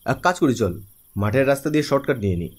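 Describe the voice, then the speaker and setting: A man's voice: medium pitch, animated, racing, lightly acted. A man voicing a hesitant young man in a horror cartoon, speaking colloquially. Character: hesitant young man walking with his friend. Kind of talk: Bengali cartoon horror story voice acting.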